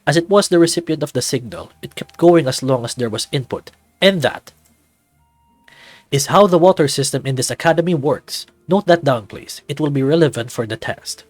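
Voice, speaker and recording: A man's voice: a little high, lively intonation, moderate pace, no echo. A jovial academy professor performed by a man, teasing and fond of lecturing. It is visual novel acting, dry and close over light music. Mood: instructive. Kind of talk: acted visual novel dialogue. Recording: background music under the voice.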